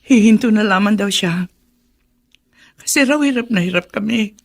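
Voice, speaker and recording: A woman's voice: medium pitch, normal speed, natural voice. A woman playing a weary, struggling widowed mother, troubled and earnest, in a radio drama. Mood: upset, pleading.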